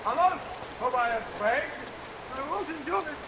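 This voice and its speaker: Overwrought young apprentice, extremely high voice, defiant, old radio melodrama, hissy rainy recording. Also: male voice, expressive, measured pace. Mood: frantic, defiant. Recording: hissy vintage radio recording under rain sounds.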